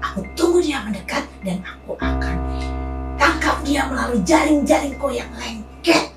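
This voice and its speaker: A woman's voice: sits high, expressive, normal speed. A woman voicing a big predatory tarantula in a children's story, sly, hungry and scheming. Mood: scheming, gloating. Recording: roomy echo, far from mic, music behind.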